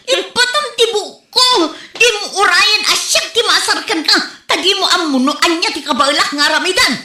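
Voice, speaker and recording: Very high and piercing, a woman puts on a brazen, excitable Ilocano village rival for a comedy drama. She is theatrical and exclamatory, heard in an echoey room. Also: moderate pace, exaggerated voice. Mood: frantic, threatening. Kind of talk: comedy audio drama dialogue. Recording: roomy echo.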